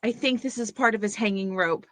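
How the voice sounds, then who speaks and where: A woman's voice: mid-range voice, brisk, fairly bright tone, no echo, lightly acted. A woman playing an anxious but decisive young woman facing a killer, urgent and tense in a horror table read. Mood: uneasy. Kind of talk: screenplay table read acting.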